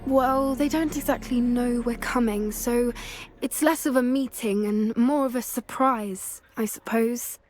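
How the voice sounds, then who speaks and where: A woman's voice: a little high, unhurried, darkish tone, close to an everyday voice. A woman voicing an earnest, anxious teenage witch in a video game, polite and a little hesitant. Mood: uneasy hope. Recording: music behind the voice. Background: music.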